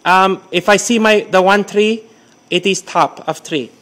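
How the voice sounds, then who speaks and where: Guy putting on a high-voiced confused foreign man, broken english, keeps repeating stuff. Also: unhurried, fairly bright tone. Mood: excited. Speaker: prank caller. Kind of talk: prank phone call.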